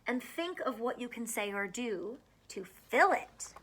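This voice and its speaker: Bright, high-voiced woman reading to children. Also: expressive, unhurried, a little hiss or hum. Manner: encouraging, addresses child listeners with questions. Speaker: primary school teacher reading aloud. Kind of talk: children's picture book read-aloud with asides.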